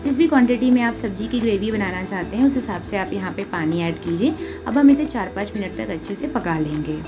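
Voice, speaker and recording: A woman's voice: mid-range voice, lively intonation, fairly quick. Indian woman over prominent music, recipe voice-over. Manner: instructional, addresses listeners as friends often. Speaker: home cooking video host. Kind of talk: recipe voice-over, step-by-step instruction. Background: prominent background music.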